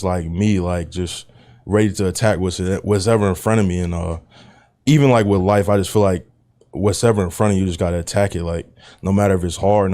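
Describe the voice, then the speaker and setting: A young man, an athlete, answering an interview in a relaxed, rambling way with repeated fillers. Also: low voice.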